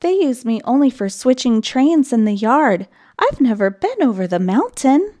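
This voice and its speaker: A woman voicing a kind, modest, plucky little female train engine in a children's storybook, speaking gently. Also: sits high, sing-song, moderate pace, voice pushed up. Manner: gentle, kindly.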